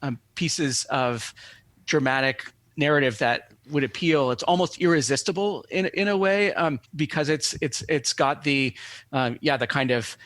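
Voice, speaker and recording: A man giving thoughtful scholarly interview answers as an academic guest, speaking in a measured, halting way with formal wording. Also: on the high side, fairly bright tone, no room sound. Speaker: academic guest, media historian.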